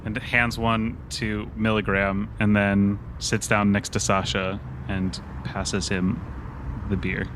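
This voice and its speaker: A man's voice: lowish voice, little pitch movement, takes it slowly, noisy background. A man playing a tabletop roleplaying game, casually narrating his character's actions.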